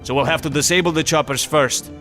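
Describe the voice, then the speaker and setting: An actor playing a steady, resolute North Vietnamese commander giving matter-of-fact mission briefings in a video game. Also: male voice, fairly high pitch, a little fast, soft background music. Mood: grimly determined.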